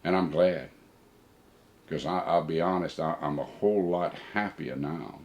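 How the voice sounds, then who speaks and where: A man's voice: very deep voice, measured pace, darkish tone. An older man, a father, reading a personal letter aloud and reflecting on it.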